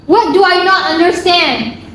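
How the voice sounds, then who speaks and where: A woman's voice: extremely high pitch, lively intonation, a little slow, fairly bright tone, exaggerated voice. A woman in an amateur stage play, acting a distressed, pleading young granddaughter. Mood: urgent questioning. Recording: very echoey room, faint noise.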